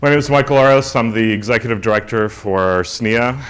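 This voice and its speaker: A man's voice: medium pitch, brisk, noisy background. A man, the executive director of an industry group, opening a conference with an upbeat, emphatic welcome speech on a hall stage into a podium mic. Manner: upbeat, emphatic opener, pushing his voice to carry. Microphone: handheld or podium mic.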